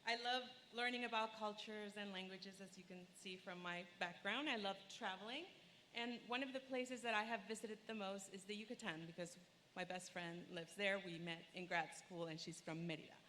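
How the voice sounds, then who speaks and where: A woman's voice: mid-range voice, steady tone, normal speed, sharp tone. A woman from Venezuela, a native Spanish speaker, introducing her course to an audience in a friendly, enthusiastic way. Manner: friendly, enthusiastic, laughs easily. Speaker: course instructor. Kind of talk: class preview talk. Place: lecture hall, in front of audience. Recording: long hall reverb, faint room noise.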